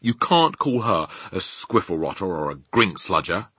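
A man's voice: deep voice, sing-song, moderate pace, a bit bright, husky, dry recording, voice pushed up, exaggerated voice. A man voicing a feisty, indignant young girl from a children's storybook, emphatic and insistent. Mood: outraged. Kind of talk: children's storybook character reading.

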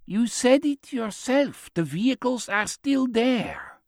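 A man's voice: very high voice, big pitch swings, takes it slowly, no room sound, studio quality, voice pushed up, full character voice. A man voicing a shrewd older man who talks in a wry, knowing, teasing way.

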